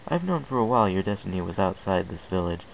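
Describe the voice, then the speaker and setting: A solemn, stoic father pony, a middle-aged stallion from a storybook, talking calmly with quiet pride, as a male reader puts him on. The voice sits very low with a gravelly edge. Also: fast, a little hiss or hum, heavily acted, rough recording.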